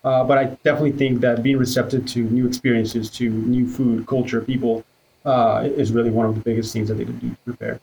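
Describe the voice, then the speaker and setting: A man talks in an easygoing, plain voice at middle pitch, filling his pauses with small hesitations as he answers questions. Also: gravelly, poor audio. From Ecuador. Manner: relaxed, many "uh" fillers. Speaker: childcare worker guest. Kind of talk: podcast interview.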